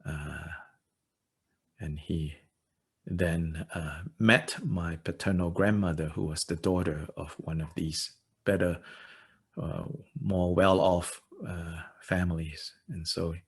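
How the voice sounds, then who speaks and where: A man in his fifties from Malaysia with a Malaysian English accent, teaching and answering questions in a halting way, with many fillers and dry self-deprecating humor. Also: deep voice, slightly rough. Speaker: dharma teacher. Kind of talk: informal teaching and Q&A.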